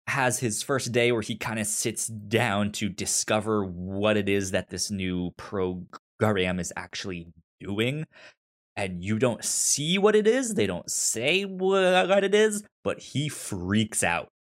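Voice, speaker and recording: Slow-talking man with a pronounced stutter. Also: lowish voice, lively intonation. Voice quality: pronounced stutter, repeating sounds and syllables. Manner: halting, informal delivery. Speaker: podcast co-host. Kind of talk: casual chat recommending and discussing TV shows.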